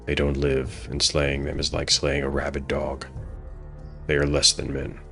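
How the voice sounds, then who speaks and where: Narrator as grim weary male warrior, very deep, even, deliberate, honourable, fantasy radio drama. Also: vocal fry, soft background music, exaggerated voice. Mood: grim conviction. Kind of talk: dialogue read within dramatic narration.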